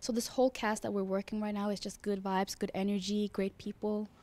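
A young woman from Norway, a dancer whose first language is Norwegian, gushing excitedly in an interview into a handheld mic in an indoor lounge. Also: mid-range voice, fairly quick. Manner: excited, gushing, laughing often. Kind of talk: interview answers. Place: indoor lounge at a rehearsal studio. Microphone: handheld mic held by interviewer.

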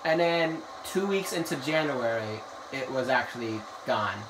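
A man's voice: medium pitch, animated, a little slow. Young guy gaming with friends, rambly and bossy, far off mic, echoey and noisy. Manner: rambling, playful, bossy about the plan. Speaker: gaming video host. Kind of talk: casual gaming chat.

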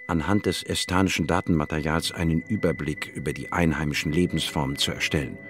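An actor playing an inquisitive, wry male biologist, narrating in a measured, dry manner in a radio drama. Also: deep voice, close to an everyday voice. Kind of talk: scripted first-person radio drama narration.

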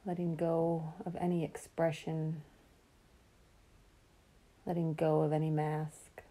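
Low, mellow woman guiding meditation. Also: low-quality recording. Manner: calm, soothing, unhurried with long pauses. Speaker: meditation guide. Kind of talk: guided relaxation meditation.

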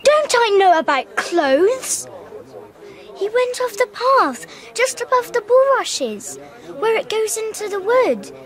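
A woman's voice: very high voice, very animated, slow pace, full character voice. A woman playing a stubborn, observant little girl, insistent and earnest, in a radio drama.

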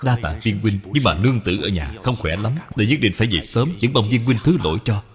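A man's voice: fairly low pitch, animated, a little fast, natural voice. A man voicing a courteous, scholarly father in a cartoon dub, formal and respectful. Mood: apologetic. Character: courteous, principled father, scholarly younger friend.

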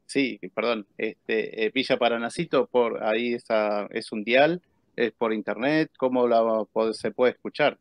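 A man's voice: mid-range voice, steady tone, a little slow, dry recording. An Argentine man in his forties hosting a casual interview chat. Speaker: host. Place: indoors.

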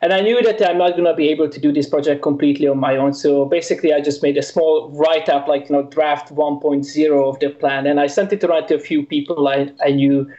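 A man's voice: fairly high pitch, steady tone, fast, hardly any pauses, dark tone, echoey room, lo-fi sound. A man from Hungary, a researcher, answering interview questions in a hesitant way with many fillers. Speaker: researcher guest.

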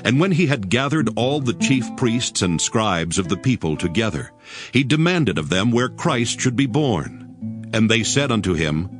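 Casting: male narrator for dramatized scripture, solemn, animated intonation, music behind. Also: lowish voice, a little slow. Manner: solemn, formal.

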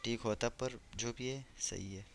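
A man's voice: mid-range voice, steady tone, unhurried, gravelly. Casual man, gaming vlogger. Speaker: gaming YouTuber. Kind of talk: casual game walkthrough commentary.

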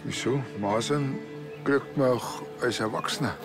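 Male actor as naive, dry Bavarian country cop for comedy crime drama, medium-pitched, music underneath. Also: a little slow, close to an everyday voice. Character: goofy, nosy male village policeman.

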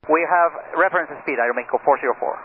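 A man's voice: fairly high pitch, fast talker. A man working as an airline pilot, giving position reports over radio. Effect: radio transmission. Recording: tinny radio, faint noise.

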